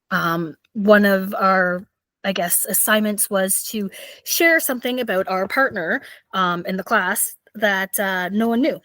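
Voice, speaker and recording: A woman in her thirties with a raspy voice, hosting a podcast and chatting casually with lots of fillers. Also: medium pitch, a bit bright, no room sound. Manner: chatty, rambling, with many fillers. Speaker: podcast host. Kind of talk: casual solo storytelling.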